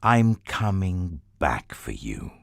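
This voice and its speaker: A man voicing God as a loving, sorrowful father, speaking gently and earnestly. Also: low-pitched, very animated, takes it slowly, gravelly, dry recording, studio quality, heavily acted. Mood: promising.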